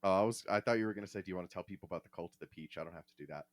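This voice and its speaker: A man's voice: low voice, very fast, no echo. A man co-hosting a casual podcast, talking in a joking, wry way. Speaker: podcast co-host.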